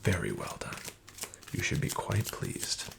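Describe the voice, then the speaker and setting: A man playing a smooth-talking, falsely friendly casino dealer, soft-spoken and half-whispered. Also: measured pace, close to an everyday voice. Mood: sly coaxing. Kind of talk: soft-spoken ASMR roleplay. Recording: audible background noise with card shuffling.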